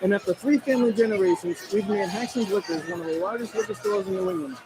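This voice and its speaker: A man's voice: high voice, lively intonation, fast talker, gravelly, noisy background. A man reading a scripted radio commercial as the owner of a family business.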